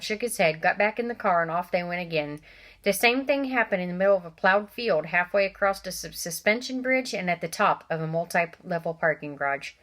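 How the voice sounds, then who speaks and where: A woman, a teacher, reading a novel aloud to children, expressive and stopping for asides to her listeners. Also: mid-range voice.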